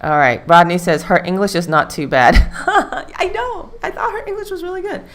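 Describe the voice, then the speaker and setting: A woman's voice: mid-range voice, sing-song, normal speed, fairly bright tone. A woman hosting a live stream, talking casually and rambling with fillers. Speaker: livestream host.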